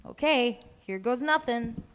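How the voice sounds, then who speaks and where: A woman's voice: a little high, expressive, a little slow, clean tone. A woman playing a crude, flirtatious man who cheats at bowling, read casually and brazenly in a live comedy table read.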